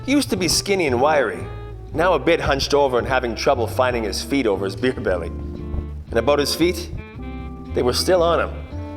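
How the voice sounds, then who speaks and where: Man playing a deadpan, low-voiced hard-boiled private eye. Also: natural voice. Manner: deadpan, wisecracking. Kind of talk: 1940s radio detective parody, narration and dialogue.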